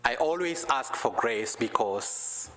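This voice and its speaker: A man preaching and praying with slow, careful phrasing; English is not his first language. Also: medium pitch, animated, pushed and bright. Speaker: guest preacher. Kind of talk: prayer and sermon. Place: church sanctuary. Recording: echoey church hall.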